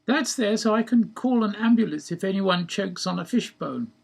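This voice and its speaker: A man's voice: high-pitched, expressive, normal speed, gravelly, husky, voice pushed up, exaggerated voice. A man voicing a grudging, bossy burger bar woman in a story, hollering and scolding. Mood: cross, scolding.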